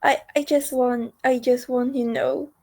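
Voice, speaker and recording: Woman from china, slightly high voice, talks slow and hesitant, hunting for words. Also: fairly even intonation, darkish tone. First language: Chinese. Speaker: conversation partner. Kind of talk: casual online conversation practice.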